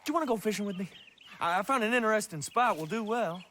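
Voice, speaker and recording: An actor playing a playful, good-naturedly boastful outlaw in a video game, speaking in an animated, teasing way. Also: male voice, sits high, fast, a little hiss or hum. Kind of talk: video game cutscene dialogue.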